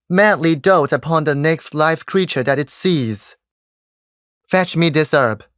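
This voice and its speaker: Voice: medium pitch, a bit bright, dry recording, studio quality, close to an everyday voice. An actor playing a lofty, commanding fairy monarch who tells tales in a theatrical stage-verse manner. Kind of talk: Shakespearean verse stage acting.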